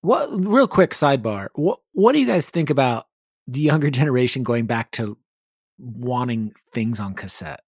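A man's voice: medium pitch, lively intonation, moderate pace, husky, no echo, studio quality. A man co-hosting a podcast, casually putting questions to his guests. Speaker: podcast co-host.

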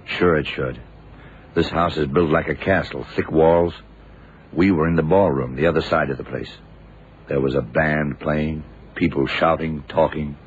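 An actor playing a shrewd, laconic detective in an old radio drama, deliberate and probing in manner. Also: male voice, rumbling low, fairly even intonation. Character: shrewd, laconic male detective puzzling out a murder. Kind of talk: 1960s-70s radio drama acting. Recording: muffled, noisy old radio recording.

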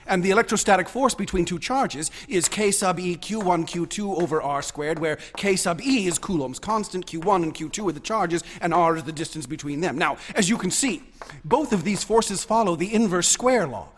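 An actor playing an obsessive young mathematics student in a radio drama, eagerly lecturing and insistent. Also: male voice, high voice, moderate pace, a little hiss or hum, close to an everyday voice. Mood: insistent, excited. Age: young adult.

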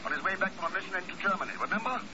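An actor playing a cool, wry, suave secret agent in a 1950s radio drama. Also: male voice, high-pitched, very fast, sharp tone. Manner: wry, unruffled. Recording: muffled, noisy old radio recording.

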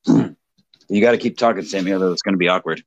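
A man with a lowish voice talks loosely on a livestream, swearing freely and poking fun at himself. The sound is dry and close, with little room echo. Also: a little fast, dull audio. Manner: profane, rambling, self-mocking. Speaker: livestream co-host. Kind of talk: casual livestream chat.

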